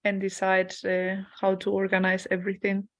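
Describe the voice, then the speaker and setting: A woman's voice: medium pitch, completely flat delivery, moderate pace, no room sound. A middle-aged woman talking casually as a participant in a work meeting on a video call.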